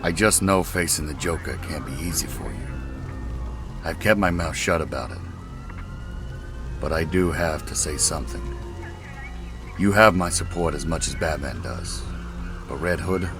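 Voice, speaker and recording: Male actor as a fatherly police chief, extremely low voice, gruff, earnest, protective, comic dub, music behind. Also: moderate pace, long pauses. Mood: concerned, stern.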